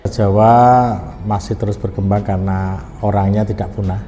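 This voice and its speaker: A middle-aged man, explaining in a measured way as an interviewed language official. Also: fairly low pitch, fairly even intonation, soft background music. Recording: echoey hall with faint noise.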